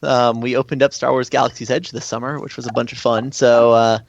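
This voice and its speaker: An American man speaks casually as a guest explaining an event. His voice sits at a middling pitch, and the audio is dry and slightly muffled, like a lo-fi call. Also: level tone, a little fast, vocal fry, no echo. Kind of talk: casual interview talk.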